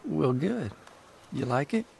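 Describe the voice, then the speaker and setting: A man's voice: fairly high pitch, big pitch swings, slow pace, vocal fry, darkish tone, a little hiss or hum, full character voice. An actor playing a grown man who tells, in a reflective, storytelling way, of his strict mother in a radio drama. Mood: teasing. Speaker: narrator and lead character.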